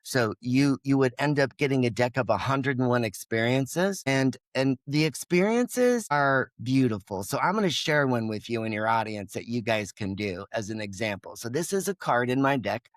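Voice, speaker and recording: A man's voice: medium pitch, no echo, clean studio sound. A man who is a podcast guest, speaking in an emphatic, passionate way and now and then acting out his own inner voice. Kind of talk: energetic interview talk.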